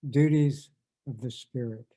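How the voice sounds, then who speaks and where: Man, soft dark tone, very unhurried, measured poetry-reading delivery over video call. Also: mid-range voice. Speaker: poet, reader at a tribute event. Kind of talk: informal introduction and poetry reading.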